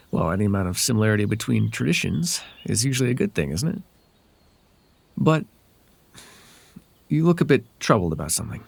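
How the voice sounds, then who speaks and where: A man's voice: medium pitch, vocal fry, slightly rough, no room sound, exaggerated voice. Man acting a lilting, courteous fae wanderer. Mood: gently probing. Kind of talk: ASMR roleplay voice acting.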